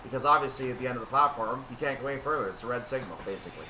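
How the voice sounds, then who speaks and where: Male gaming host, mid-pitched, thinking aloud through his play, recorded off-mic with clear background hum. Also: racing, far from the mic. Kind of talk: unscripted gameplay commentary on a train simulator. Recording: roomy, off-mic, steady hum and rumble.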